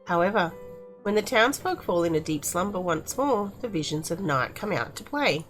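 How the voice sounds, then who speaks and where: A woman's voice: lowish voice, big pitch swings, moderate pace, a bit bright, faint music behind, rough recording. A woman hosting a casual game review, talking in a chatty, appreciative way.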